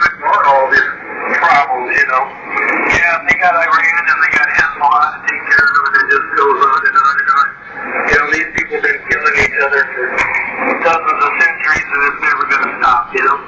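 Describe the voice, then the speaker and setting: A man's voice: on the high side, little pitch movement, a little fast. An American man chatting casually as an amateur radio operator, in a folksy, rambling way. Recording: very noisy, muffled, distant roomy radio reception.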